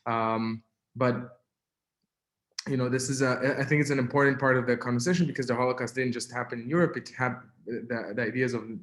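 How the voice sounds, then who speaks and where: A young man with an Israeli accent speaks as a webinar guest, telling his story haltingly with many fillers and restarts. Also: mid-range voice, a bit bright, echoey room. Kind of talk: personal testimony in a webinar.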